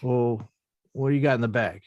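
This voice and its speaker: A man's voice: medium pitch, normal speed, darkish tone, dry recording, close to an everyday voice. A man reading the part of a humble, plainspoken young male boxer at a table read. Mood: easygoing. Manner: plainspoken, laid-back. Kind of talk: live online table read.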